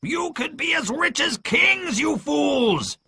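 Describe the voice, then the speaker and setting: A man voicing a furious, menacing blind pirate leader who barks orders and curses in a strained, gravelly voice for a storybook. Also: very high voice, big pitch swings, takes it slowly, pushed and bright, no room sound, pitched above the performer's own voice, heavily acted. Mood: furious, scornful. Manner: barking orders and cursing.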